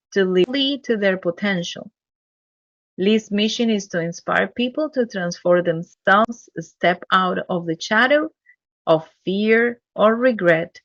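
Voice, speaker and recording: A woman hosting a podcast, reading a guest introduction and asking questions in a measured, enthusiastic way, with a non-native English accent. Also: mid-range voice, no echo, highs cut off. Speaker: podcast host. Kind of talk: reading an introduction, then interviewing.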